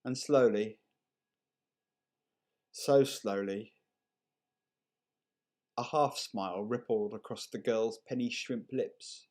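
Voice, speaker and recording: A man reading a short story aloud in an unhurried, measured and expressive storytelling manner. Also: fairly low pitch. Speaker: story reader. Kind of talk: dramatic short story narration.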